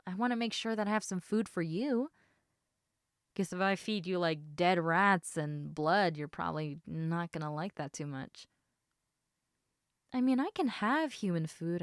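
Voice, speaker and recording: A woman voicing an affectionate, teasing half-snake lamia in a casual, intimate audio roleplay, chatting informally with halting, trailing thoughts. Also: lowish voice, no room sound, clean studio sound. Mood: casual, caring.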